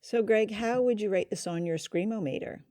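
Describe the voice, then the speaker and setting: Mellow, closely recorded woman co-host. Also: mid-range voice, normal speed, no room sound. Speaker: co-host of an old-time radio review show. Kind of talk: casual review chat, informative.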